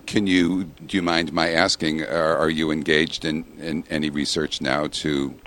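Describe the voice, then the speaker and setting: A man's voice: a bass voice, flat delivery, a little slow, a bit bright, slight background noise. A man hosting a medical podcast, asking hesitant interview questions with fillers. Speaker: podcast host.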